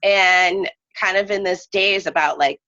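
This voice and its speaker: A woman telling a personal story in casual conversation, speaking in a halting, reflective way with trailing phrases. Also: medium pitch, bright, forceful tone. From United States, lives in New England. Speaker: guest storyteller. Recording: dull, roomy lo-fi recording.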